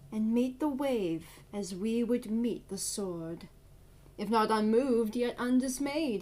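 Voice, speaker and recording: Woman acting a slow, solemn doomed tragic heroine. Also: on the high side, darkish tone. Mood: resolute grief. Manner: declamatory, measured, grave. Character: doomed young woman, solemn, proud, loving, defiant of death. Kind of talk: classical verse monologue, theatrical.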